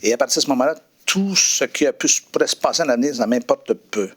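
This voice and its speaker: Middle-aged man, preacher, reflective testimony, hesitant, mid-pitched voice. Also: expressive, quick pace, slightly rough. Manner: reflective, hesitant, many fillers and restarts. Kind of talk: personal testimony interview.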